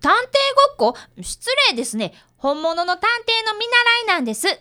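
A very high, animated boy's voice, acted by a woman, polite and earnest. He is an eager young detective's apprentice in a radio drama, keen to prove himself. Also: brisk, heavily acted. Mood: indignant, earnest. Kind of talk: radio drama acting with first-person narration.

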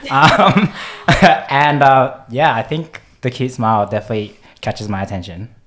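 A man's voice: medium pitch, a little slow, fairly bright tone. A man, chatting and joking as a podcast guest, giggling often. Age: 20s. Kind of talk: casual podcast chat.

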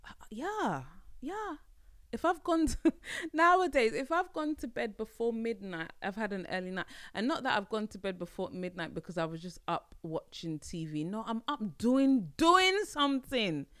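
A woman's voice: a little high, expressive, moderate pace, fairly bright tone, no room sound, studio quality. A young woman hosting a solo podcast, talking casually in a playful, slangy way, often laughing. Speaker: podcast host.

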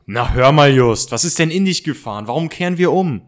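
A man's voice: fairly high pitch, expressive, brisk, natural voice. A man playing an easygoing, slightly grumbling teenage boy detective in an amateur radio play. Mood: alert.

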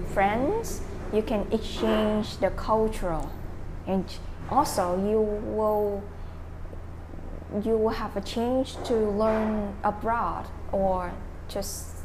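A young woman with a Vietnamese accent talks through her answers at an unhurried, deliberate pace. She hunts for words, repeats herself and fills gaps with "um" and "like". A faint hum of room ambience sits behind her mid-pitched voice. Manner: hesitant, searching for words, many fillers and restarts. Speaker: interviewee, English learner. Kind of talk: casual interview answers.

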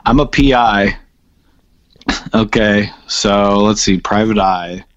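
A man co-hosting a comedy podcast in casual banter, animated and quick to act out little imagined exchanges. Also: fairly low pitch, dull audio. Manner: animated, acts out imagined dialogue. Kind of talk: casual comic banter with riffs.

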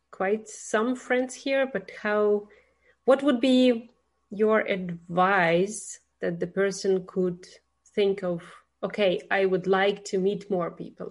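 A woman from Russia, speaking as an interviewer in a casual conversation. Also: medium pitch.

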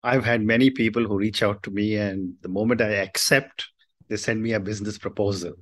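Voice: medium pitch, steady tone, brisk. An interview host who murmurs agreement often and asks the guest questions. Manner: frequent murmured agreement, encouraging. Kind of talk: casual interview.

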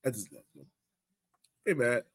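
A man's voice: medium pitch, big pitch swings, normal speed, breathy edge. Casual man hosting a sports podcast. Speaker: podcast host.

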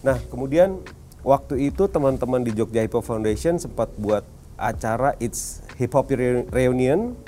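A man hosting a podcast, asking questions in a relaxed way and ending them with tag phrases. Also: medium pitch, moderate pace, a little hiss or hum. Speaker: podcast host. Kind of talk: interviewing.

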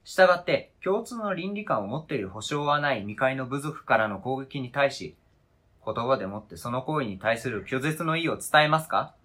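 A man's voice: mid-range voice, lively intonation, moderate pace, bright, forceful tone. A man acting in a radio drama reading as a wounded, indignant adult who argues with cold, pedantic logic and interrogates relentlessly. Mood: relentless, contemptuous. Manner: pedantic, interrogating, formal argumentative phrasing.

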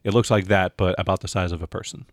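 A man with a fairly low voice acts as game master, describing scenes off the cuff and haltingly. The sound is dry and close. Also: fairly even intonation, no echo. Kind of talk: improvised descriptive game narration.

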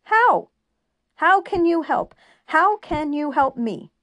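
A woman's voice: mid-range voice, expressive, measured pace, dry recording. A woman voicing an agitated, frightened young male doctor who raises his voice. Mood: angry.

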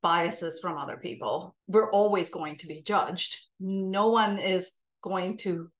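Woman in her 40s, Indian-American blended accent, thoughtful interview guest recounting her journey. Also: on the low side. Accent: mixed Indian and American. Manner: thoughtful, measured storytelling. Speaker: podcast guest. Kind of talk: reflective interview talk.

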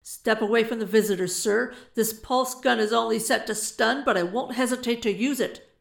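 Here is a woman playing an armed, no-nonsense innkeeper, a man from an audio story. He gives orders loudly in a raised voice. The room is noticeably echoey. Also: fairly high pitch, normal speed, creaky, pitched above the performer's own voice. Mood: commanding. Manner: loud, commanding. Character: stern, armed male innkeeper giving commands. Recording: roomy echo.

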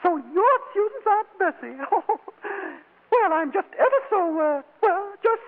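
A man's voice: very high-pitched, lively intonation, takes it slowly, voice pushed up, heavily acted. A man voicing a prim, easily flustered lady with fluttery, genteel airs, in a 1940s radio comedy. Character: man disguised as his own prim, easily flustered sister. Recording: muffled old radio recording.

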